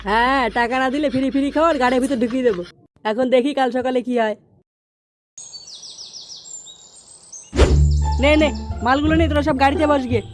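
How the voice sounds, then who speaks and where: High, rapid, bossy cartoon youngster, voiced by an adult. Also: faint music behind, lightly acted. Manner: brash, bossy, scolding. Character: bossy, foul-mouthed youth leading a gang of friends. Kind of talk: comic cartoon dubbing in colloquial Bengali.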